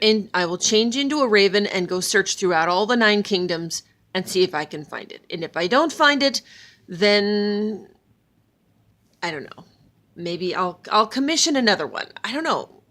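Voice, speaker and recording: A woman's voice: mid-range voice, a bit bright. A woman voicing a male trickster god who stammers, hedges and defends himself.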